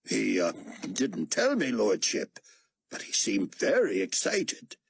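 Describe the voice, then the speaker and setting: A man's voice: mid-range voice, wildly swooping pitch, slow, creaky, breathy edge, no echo, pitched above the performer's own voice, exaggerated voice. A man playing a nervous, obsequious aide to a tyrant in an audiobook, hesitant and apologetic in manner. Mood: flustered, apologetic. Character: flustered, deferential male aide to a tyrant.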